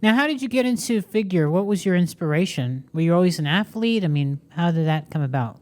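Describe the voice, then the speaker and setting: A woman interviewer in a casual chat, teasing and quick with her follow-up questions. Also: on the low side, animated, darkish tone. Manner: chatty, teasing, asks quick follow-ups.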